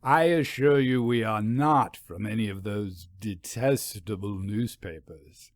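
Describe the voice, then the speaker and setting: Male narrator as an English gentleman professor, polished accent, courteous, composed, dry close sound, audiobook character. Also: on the low side, a little slow, no echo. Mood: serene, reassuring.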